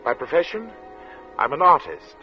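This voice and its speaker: An actor playing a haunted, methodical artist in his thirties, giving a deliberate 1940s radio monologue. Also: on the high side, very animated. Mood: earnest, insistent. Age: 30s. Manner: halting, deliberate, precise. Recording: muffled 1940s radio with music.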